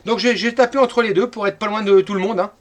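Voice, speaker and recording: A man's voice: sits high, fairly even intonation, quick pace, a little hiss or hum. A middle-aged man from France chatting casually as an amateur radio operator.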